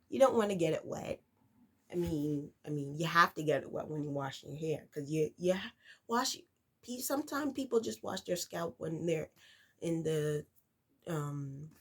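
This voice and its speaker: Slow-talking stuffy-nosed woman vlogger. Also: fairly low pitch. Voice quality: nasal, congested. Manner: rambling, with many fillers and restarts. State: stuffy nose from a cold. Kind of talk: casual talk to camera.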